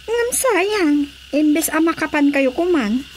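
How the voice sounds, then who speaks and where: An actress playing a brisk, businesslike female recruiter with a smooth sales manner and a Filipino accent in a radio drama. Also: sits high, animated, a little hiss or hum.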